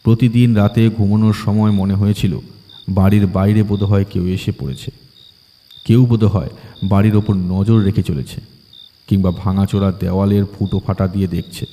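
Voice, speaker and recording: A man's voice: fairly low pitch, little pitch movement, moderate pace, warm tone. A man reading a scripted horror audiobook as its story narrator.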